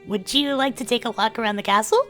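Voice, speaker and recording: A young woman acting an earnest, friendly and informal young queen in an expressive fan dub. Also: a little high, quick pace, soft background music, close to an everyday voice. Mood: eager.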